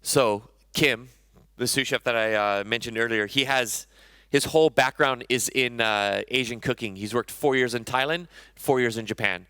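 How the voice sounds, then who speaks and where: A man's voice: medium pitch, moderate pace, sharp tone, clean tone, no room sound. A man in his thirties, a chef giving a conversational conference talk on stage, often correcting himself mid-sentence. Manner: conversational, self-correcting, enthusiastic about food.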